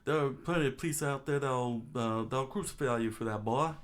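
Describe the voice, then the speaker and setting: A man's voice: medium pitch, brisk, pitched above the performer's own voice, lightly acted. A man voicing a stern, cautious senior man who lectures juniors stiffly in a story read aloud. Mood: admonishing.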